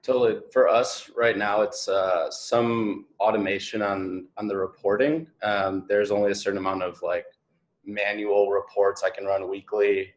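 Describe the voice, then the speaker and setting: A man's voice: fairly low pitch, fairly even intonation, normal speed. A man answering as a panelist in a casual professional discussion. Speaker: marketing panelist.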